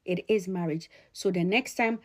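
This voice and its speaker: A woman speaking straight to her audience in an opinion vlog, emphatic and admonishing, repeating key phrases deliberately. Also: fairly low pitch. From Ghana. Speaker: sociologist addressing followers.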